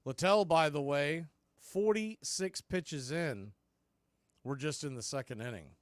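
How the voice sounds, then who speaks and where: A man calling baseball play-by-play as a radio announcer, in clipped, folksy phrases that range from quiet to excited. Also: fairly high pitch, unhurried, dry recording, studio quality. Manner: swings from hushed calls to excited shouts. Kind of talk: live radio play-by-play commentary.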